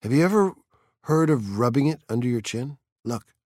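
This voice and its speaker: A man's voice: mid-range voice, expressive, normal speed, darkish tone, no echo, studio quality, voice pushed up, natural voice. A man voicing a peculiar, dreamy teenage girl of nearly seventeen, whimsical and earnest in her chatter.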